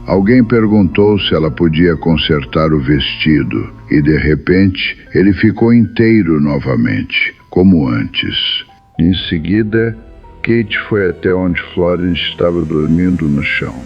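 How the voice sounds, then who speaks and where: A man's voice: a bass voice, lo-fi sound. A man reading a scripted first-person account as a dramatic storyteller.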